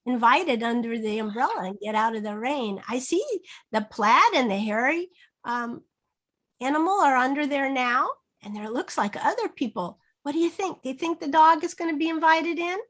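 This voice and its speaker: A woman teaching through an interactive children's read-aloud, modeling phrases slowly and asking prompting questions. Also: high-pitched, expressive, fairly bright tone. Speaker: reading teacher. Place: online video session.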